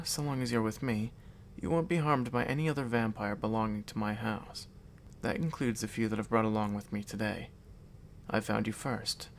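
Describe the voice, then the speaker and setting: A male voice actor plays a predatory vampire noble in an intimate ASMR audio drama. He has a medium-pitched male voice and speaks with measured courtesy, reassuring yet condescending. A light layer of background noise sits under the voice. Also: natural voice. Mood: possessive, reassuring. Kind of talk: ASMR audio roleplay addressed to the listener. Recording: audible background noise and ambience.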